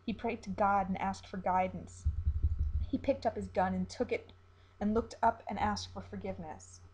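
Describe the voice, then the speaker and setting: A woman with a husky, slightly rough voice narrates a grim story. She delivers it as a dramatic storyteller reading from a script. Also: medium pitch, fairly even intonation, moderate pace, a little mellow, rough recording.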